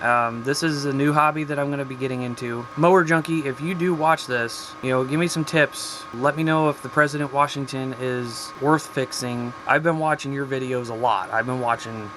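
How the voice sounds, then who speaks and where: A man's voice: medium pitch, moderate pace, few pauses, a bit bright, slight background noise. A man giving a casual, folksy show-and-tell about his hobby gear as a video host, with informal slang.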